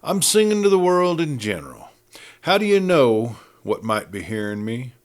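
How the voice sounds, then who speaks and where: A man's voice: medium pitch, sing-song, normal speed, lightly acted. A man voicing a breezy, good-natured but proud cowboy prisoner, bantering in folksy Western talk. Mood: cheerful, teasing.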